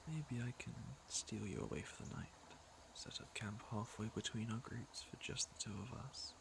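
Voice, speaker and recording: Man playing a gravelly, flirtatious fantasy villain. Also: on the low side, normal speed, noisy background. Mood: teasing, flirtatious. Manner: smooth-talking, teasing, intimate. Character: flirtatious, teasing, secretly caring male fantasy villain. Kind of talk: ASMR roleplay acting, intimate second-person address.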